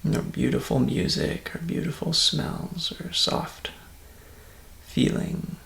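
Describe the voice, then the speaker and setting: A man leads a guided meditation in a very creaky, gravelly voice. He speaks softly and very slowly, soothing and close to a whisper at times. The voice sits in a roomy, echoing space. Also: a little high. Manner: soft-spoken, breathy near-whisper at times, calm and soothing.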